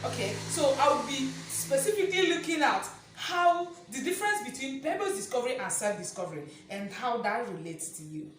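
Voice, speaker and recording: A woman with a loud, projecting voice giving a motivational teaching talk, emphatic, repeating key phrases and putting rhetorical questions to listeners. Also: high voice, big pitch swings, moderate pace, few pauses. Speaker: motivational speaker and presenter. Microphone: distant camera mic, no microphone on speaker. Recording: echoey room, distant mic, faint noise.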